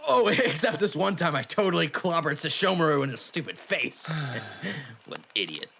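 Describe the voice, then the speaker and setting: Man voicing a hot-tempered half-demon, cocky, gruff, very animated pitch, anime audio drama, faint background noise. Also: normal speed, exaggerated voice. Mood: grudging. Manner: cocky, defensive, gruff bragging.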